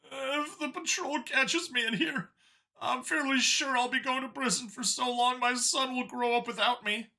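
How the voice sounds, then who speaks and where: Strained, high-pitched cornered alien guard. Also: male voice, steady tone, normal speed, bright, forceful tone, heavily acted. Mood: pleading. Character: cornered burly alien guard, fearful grudging father.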